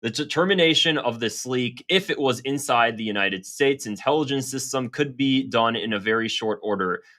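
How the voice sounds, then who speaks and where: A man's voice: mid-range voice, moderate pace, pushed and bright. A man talking casually to his viewers as a political commentator, conversational, sometimes stumbling over and repeating his words. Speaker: online political commentator and host. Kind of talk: casual news commentary, reading quotes aloud to viewers.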